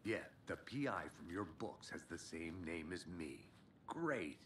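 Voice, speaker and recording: Terse FBI agent, game drama, low, bright forceful tone, lively intonation, dry. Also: male voice, measured pace, slight background noise. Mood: dry, steady. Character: gruff, no-nonsense male FBI special agent. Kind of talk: video game drama acting.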